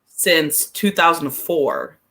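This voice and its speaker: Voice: mid-range voice, wildly swooping pitch, slow, vocal fry, a bit bright. Casual soccer podcast talker, thinking aloud. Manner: hesitant, thinking aloud, frequent use of "like". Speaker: podcast guest analyst. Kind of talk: casual chat, soccer commentary.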